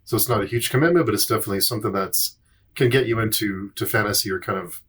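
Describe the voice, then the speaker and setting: A man co-hosting a podcast in casual chat, halting and often repeating his phrases. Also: fairly low pitch, a bit bright, a little hiss or hum. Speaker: podcast host.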